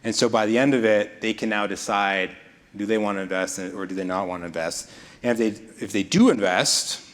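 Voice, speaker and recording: A man's voice: lowish voice, fairly quick, a bit bright. A man giving a presentation, explaining investing in an easygoing way with some restarts. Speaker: investment speaker. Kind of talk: presentation talk.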